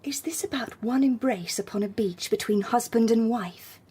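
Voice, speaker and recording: An actress playing a frightened but defiant young Victorian bride, speaking intensely in formal British English for a radio drama. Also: female voice, on the high side, moderate pace, mellow, natural voice. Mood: tense. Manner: intense, formal period diction. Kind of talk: radio drama acting with inner narration.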